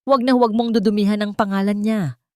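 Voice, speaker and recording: A woman voicing a brooding, protective husband in a narrated drama, with quiet, emphatic menace. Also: mid-range voice, fairly even intonation, fast talker, no echo, clean studio sound, voice pushed up, close to an everyday voice. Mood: angry, threatening.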